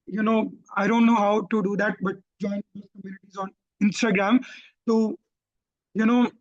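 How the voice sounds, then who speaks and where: Man, Pakistani accent, webinar tutor, explanatory, self-correcting, dull lo-fi sound. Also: high-pitched. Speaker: IELTS tutor. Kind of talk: webinar teaching and answering questions. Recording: dull lo-fi online call audio.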